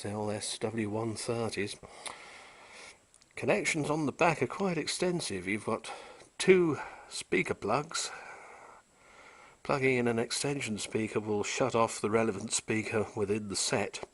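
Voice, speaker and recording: A man giving an unhurried, technical show-and-tell of an old tape recorder he repaired, pausing often with "uh" as he points out its features. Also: mid-range voice. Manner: unhurried, technical, with frequent "uh" pauses. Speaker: vintage electronics restorer. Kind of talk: casual show-and-tell demonstration.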